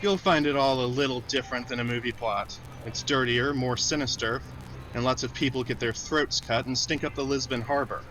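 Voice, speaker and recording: An actor playing a grim government intelligence officer, stern and deliberate, in old-time radio drama style. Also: male voice, mid-range voice, steady tone, a bit bright, slight background noise, lightly acted, low-quality recording. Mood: grim warning.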